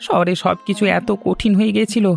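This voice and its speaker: A man's voice: sits high, steady tone, fairly quick, gravelly, breathy edge, soft background music, full character voice. A man voicing an ashamed, jobless village son in a cartoon, rueful and rambling. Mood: dejected. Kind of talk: Bengali animated family story dialogue.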